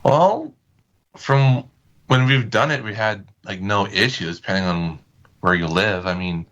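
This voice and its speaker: A man chatting casually as a podcast guest, with halting, hesitant phrasing. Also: lowish voice, a bit bright, muffled recording. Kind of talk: casual chat.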